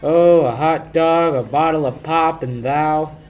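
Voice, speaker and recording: A man's voice: a little high, unhurried, darkish tone, dull audio, soft background music, natural voice. A young male amateur actor playing a cocky, wisecracking sleuth with a confident, needling manner in a 1940s radio drama style. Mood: wry, relaxed. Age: young adult. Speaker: amateur high school actor.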